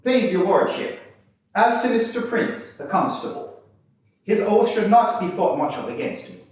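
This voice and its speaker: A man's voice: high-pitched, lively intonation, moderate pace, darkish tone, pitched above the performer's own voice. A man playing a defiant, witty Irish convict poet, declamatory and cutting in a mock-formal way. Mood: cutting, indignant. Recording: distant, very echoey room.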